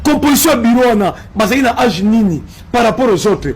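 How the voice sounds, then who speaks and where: A man's voice: extremely high pitch, lively intonation, brisk, slightly rough. A man from Congo with a Congolese French accent giving impassioned political commentary, emphatic and repetitive, slipping in Lingala phrases.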